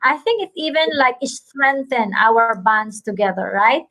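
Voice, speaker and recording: A chatty Filipina woman with a Filipino accent hosts a casual online talk show. Her voice sits a little high. She gushes and piles on warm greetings. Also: expressive, a little slow. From Philippines. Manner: effusive, chatty, gushing greetings.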